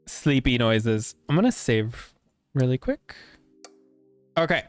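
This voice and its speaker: Hoarse, casual game streamer man. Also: mid-range voice, sing-song, slow, soft background music. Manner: casual, profane, rambling. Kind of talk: reading a game aloud and chatting on stream.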